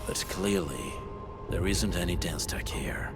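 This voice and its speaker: A deep-voiced, grave warrior. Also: male voice, unhurried, loud music behind the voice. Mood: grave, explanatory. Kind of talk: video game voice acting.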